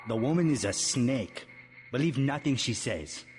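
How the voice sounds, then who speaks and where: A man's voice: mid-range voice, expressive, measured pace, long reverb tail, over music, natural voice. A man playing a wary Hong Kong local in a video game, with a formal, cautioning manner.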